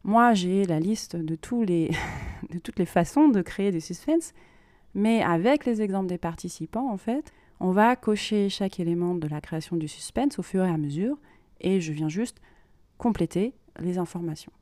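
A woman hosting a podcast, explaining things informally in a monologue and pausing as she thinks aloud. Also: fairly low pitch, animated, warm tone, no room sound. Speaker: podcast host and creative writing workshop leader.

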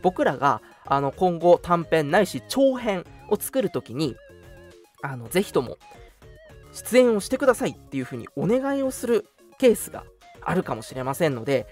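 A man's voice: fairly high pitch, very animated, normal speed, a little mellow, soft background music. A young man hosting an online radio show, making announcements in a polite, earnest, conversational way. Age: young adult.

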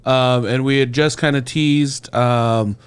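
A man's voice: medium pitch, fairly even intonation, slow, smooth. Easygoing man hosting a podcast. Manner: easygoing, joking asides. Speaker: podcast host. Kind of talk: casual podcast chat. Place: podcast studio.

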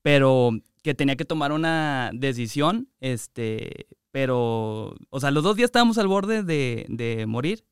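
A man's voice: mid-range voice, animated, a little slow, few pauses, clear, resonant tone, no room sound, studio quality. A man with a Mexican accent hosting a casual interview in a chatty, conversational way. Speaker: interviewer, host. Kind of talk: casual chat.

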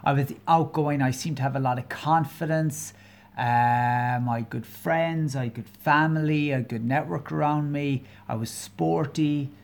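A man's voice: fairly high pitch, creaky. Earnest, hesitant Irish man with a Kerry lilt. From Ireland. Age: 40s. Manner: hesitant and earnest, many pauses and fillers; candid, openly personal. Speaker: podcast host. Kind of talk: solo podcast monologue.

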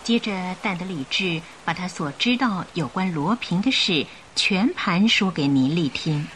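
A woman narrating a radio drama in an expressive storytelling style. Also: lowish voice, unhurried, a little hiss or hum. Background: music.

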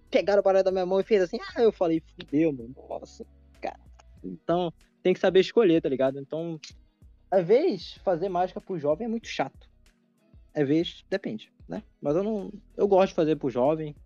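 A young Brazilian man in casual chat, excitable and slangy, swearing often. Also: high voice, no echo, lo-fi sound. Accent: Brazilian Portuguese.

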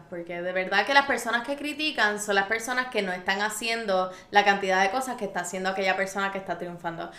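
A woman's voice: medium pitch, bright, forceful tone. A Puerto Rican woman hosting a show with casual, opinionated commentary, speaking emphatically and sassily and dropping in English slang. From Puerto Rico. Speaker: host of an episodic show. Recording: roomy, echoey sound.

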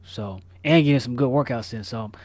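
A man's voice: mid-range voice, fairly quick, darkish tone, no room sound. A man co-hosting a podcast in casual chat, rambling with repeated words and slang. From Florida. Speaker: podcast co-host.

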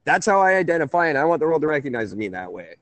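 A man hosting a conversational call-in radio show, halting and often interrupting himself. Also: fairly high pitch, big pitch swings, fairly bright tone, no echo. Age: 30s.

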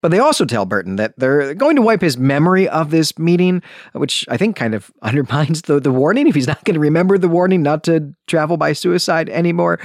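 A man hosting a podcast, telling a story in a conversational, animated way with casual asides. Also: fairly high pitch, fairly quick, dry recording, clean studio sound. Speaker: podcast host. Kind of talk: conversational book summary and commentary.

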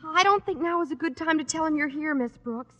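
Woman acting a very high, perky teenage girl. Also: brisk, in a large hall, lo-fi sound, close to an everyday voice. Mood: helpful, earnest. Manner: bubbly, eager. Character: perky, girlish, helpful teenage daughter. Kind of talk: 1940s radio sitcom acting. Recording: hollow old radio broadcast.

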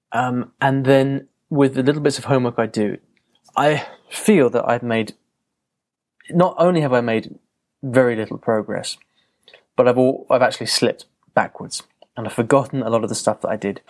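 A man hosting a podcast, talking on his own in a reflective, loose way with frequent fillers and restarts. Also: mid-range voice. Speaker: podcast host. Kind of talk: casual solo podcast monologue.

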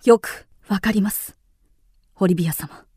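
A woman's voice: mid-range voice, a little slow, darkish tone, husky, no echo, studio quality. A woman acting in a radio drama as an earnest, steadfast young male envoy, speaking formally and with firm deference. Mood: earnest, resolute.

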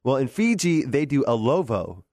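A man co-hosting a morning radio show, chatting casually in a relaxed, joking way. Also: mid-range voice, moderate pace, no room sound. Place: radio studio. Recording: dry studio mic.

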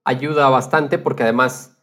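A man's voice: medium pitch, fairly even intonation, normal speed, fairly bright tone. A man speaking casually as a podcast guest, explaining his thoughts in a reflective way. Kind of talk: casual conversation.

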